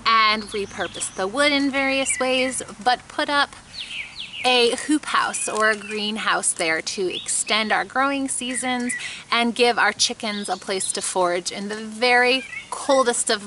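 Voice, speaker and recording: A woman's voice: medium pitch, noisy background. A woman in her thirties talking casually to camera in her backyard, earnest and chatty, with small laughs. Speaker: homestead vlogger. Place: outdoors in a grassy backyard beside a chicken coop. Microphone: camera mic at close framing.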